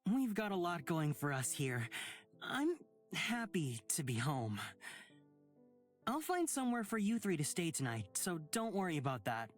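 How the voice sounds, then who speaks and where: A woman's voice: on the low side, big pitch swings, a little slow. A woman voicing a breezy, sardonic rich young man in a visual novel game. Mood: wry, breezy. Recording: music under the voice. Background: game music.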